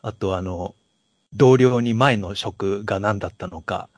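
A man's voice: fairly low pitch, measured pace, darkish tone, no room sound. A man co-hosting a casual film chat, musing over scenes and putting questions to his partner. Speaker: podcast co-host.

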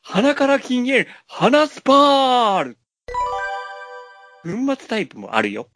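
A man voicing a peppy, over-the-top pitchman in a parody radio commercial, stretching out his slogans emphatically. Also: huge pitch swings, slow, a bit bright, faint music behind, heavily acted. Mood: peppy, salesy. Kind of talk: exaggerated radio commercial read.

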